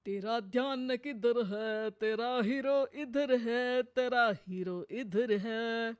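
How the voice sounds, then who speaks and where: A woman's voice: on the high side, clean tone. Woman acting a drawling, lecherous older male neighbour. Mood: leering. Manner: leering, drawn-out filmi flirting and cackling. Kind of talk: cartoon moral story acting.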